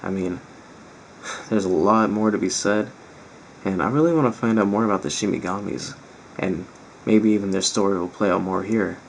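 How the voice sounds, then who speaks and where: A man talks casually to his viewers as a reaction host, rambling informally. His voice is strained and raspy, on the low side with a lot of creak, over slight noise. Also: normal speed, poor audio. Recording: faint background noise.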